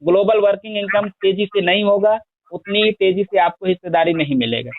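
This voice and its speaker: A man making a long sales pitch on a phone call, reeling off figures at length and pressing the listener. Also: fairly quick, no room sound. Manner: persistent, explains at length with long lists of figures. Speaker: scheme promoter on a phone call. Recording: tinny phone line, dry.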